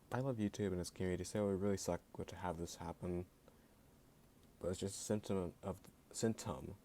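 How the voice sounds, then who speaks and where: A man's voice: deep voice, mellow, a little hiss or hum. A man giving an opinion rant as a video creator, sarcastic and blunt, with a voice that sounds jittery at times. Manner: sarcastic and blunt, heavy use of "like". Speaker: YouTube creator.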